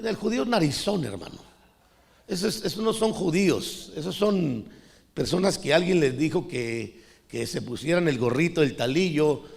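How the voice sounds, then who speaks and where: A man's voice: a little high, vocal fry. A middle-aged man from Costa Rica preaching a sermon in a conversational way, often calling the listeners brother. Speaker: pastor.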